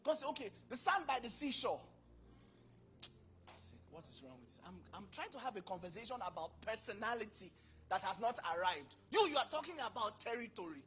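A man in his thirties preaching a sermon into a handheld mic on a large church stage, acting out dialogue with sudden bursts of loudness. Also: sits high, brisk. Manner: animated, acts out dialogue, bursts of volume. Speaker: pastor.

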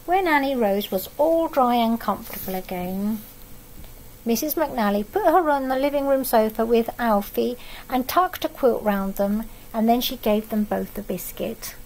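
A middle-aged woman reading a picture book aloud to young children, expressive and adding asides about the pictures. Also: a little high, slight background noise, rough recording. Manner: expressive storytelling that pauses to comment on the pictures. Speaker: storybook reader for young children. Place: living room at home, indoors.

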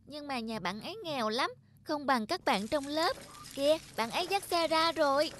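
A woman's voice: high-pitched, lively intonation, normal speed, a bit bright. A woman voicing a spoiled rich little girl in a cartoon, who talks in an eager, chatty, childlike way. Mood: curious. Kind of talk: cartoon dubbing.

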